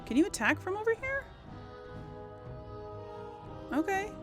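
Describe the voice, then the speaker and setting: Animated woman chatting through a video game. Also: extremely high pitch, unhurried. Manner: chatty, talks to herself and to game characters. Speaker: let's play host. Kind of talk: casual live gameplay commentary. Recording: game music under the voice. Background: video game music.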